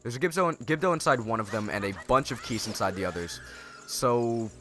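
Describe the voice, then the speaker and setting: Bright-voiced, nasal young man, sarcastic gamer. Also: medium pitch, expressive, a little fast. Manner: rambling and sarcastic, self-correcting. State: nasal from a starting cold. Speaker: let's play host. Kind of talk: casual gameplay commentary.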